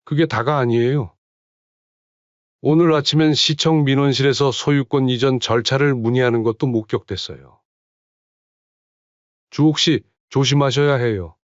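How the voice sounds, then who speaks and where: A man's voice: mid-range voice, normal speed, long pauses, no room sound, lo-fi sound, close to an everyday voice. A man voicing a concerned village head.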